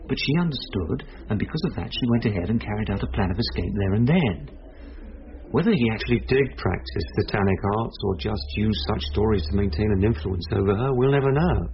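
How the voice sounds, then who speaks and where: An actor playing a calm, reassuring police detective who explains things gently in a radio drama. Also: male voice, lowish voice, a little fast. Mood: matter-of-fact. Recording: slightly dull radio recording.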